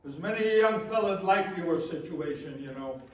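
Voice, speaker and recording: A crabby old skinflint of a businessman, played by a man in a radio play, pitched high for a male voice. He scolds and grumbles with open contempt, heard from a distance in a very echoey room. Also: lively intonation, moderate pace, natural voice. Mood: angry, threatening. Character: miserly, ill-tempered old businessman uncle. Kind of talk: stage-style radio play acting. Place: rehearsal in a reverberant room.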